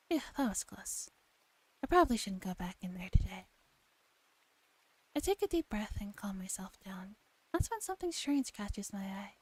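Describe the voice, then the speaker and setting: A woman streamer reading a visual novel aloud in a soft ASMR style, often dropping to a whisper. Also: medium pitch, low-quality recording. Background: background music.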